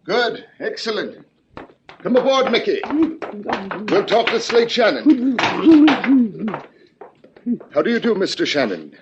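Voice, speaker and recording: An actor playing a smooth, menacing gunman in 1950s radio drama, speaking with formal, mock-courteous phrases and repeating himself. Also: high-pitched, big pitch swings, slow pace, pauses often, exaggerated voice. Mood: falsely cheerful. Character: smooth, menacing gunman, mock-polite, talks to silent henchman. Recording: muffled, noisy old radio recording.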